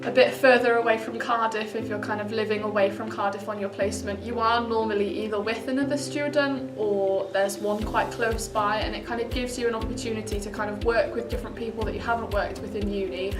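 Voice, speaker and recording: A woman's voice: mid-range voice, steady tone, fairly quick, hardly any pauses. A young woman studying physiotherapy, talking in an upbeat way with many "kind of" fillers. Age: young adult. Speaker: physiotherapy student. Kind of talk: casual talk to camera. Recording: roomy, distant mic, music behind.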